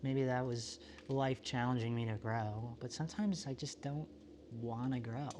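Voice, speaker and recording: A man playing a solitary, introspective apocalypse survivor in a found-footage monologue, talking in a halting, self-questioning, confessional way. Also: medium pitch, fairly even intonation, a little mellow, a little hiss or hum, lightly acted. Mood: weary, reflective. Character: solitary, world-weary, introspective male survivor of a quiet apocalypse.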